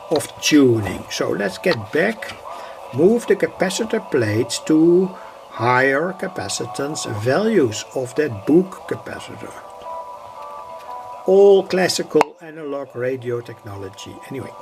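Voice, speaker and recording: A man's voice: a little high, big pitch swings, unhurried, over music. A man giving an informal, hesitant technical demonstration, repeating himself as he explains each step. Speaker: electronics hobbyist presenter.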